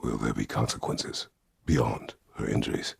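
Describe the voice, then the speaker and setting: An actor playing a stern, weary, middle-aged Greek war god in a video game, speaking tersely and formally with a gravelly, husky voice. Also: male voice, a bass voice, steady tone, moderate pace, dry recording, heavily acted. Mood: stern, brooding. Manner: terse, grave, formal phrasing without contractions. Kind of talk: dramatic video game voice acting.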